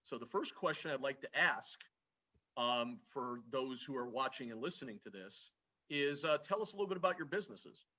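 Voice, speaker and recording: A man hosting a formal interview, measured and polished as he introduces and questions a guest. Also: medium pitch, lively intonation, pushed and bright.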